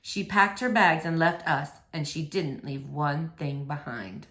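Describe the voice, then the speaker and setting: A woman voicing a quiet, melancholy middle-aged preacher and father in a storybook read aloud, speaking slowly and gently subdued. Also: sits low, echoey room, voice pushed down. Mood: sad, subdued. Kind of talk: children's novel read aloud.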